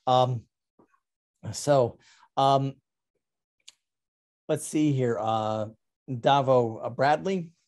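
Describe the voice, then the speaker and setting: A middle-aged man talking casually about his artwork on a video call, halting with many ums and restarts. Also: mid-range voice, darkish tone. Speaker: artist presenting his work. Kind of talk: casual talk over a slide presentation.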